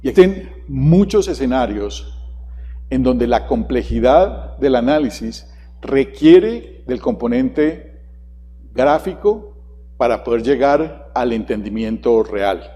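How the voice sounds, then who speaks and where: A man's voice: medium pitch, animated, takes it slowly, mellow. A man giving a keynote talk at a conference, asking the audience questions. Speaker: conference presenter. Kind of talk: keynote presentation. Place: large conference hall. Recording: long hall reverb.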